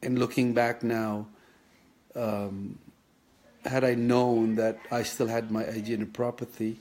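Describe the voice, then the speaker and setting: This is a man, sharing memories in an unhurried, reflective way. His voice sits at an ordinary middle pitch. He talks slowly, halting often and circling back to finish his thoughts. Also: little pitch movement, darkish tone. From Philippines. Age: 50s. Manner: halting, thoughtful, many pauses and restarts. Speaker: vlogger sharing his kidney story. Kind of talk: reflective personal memoir.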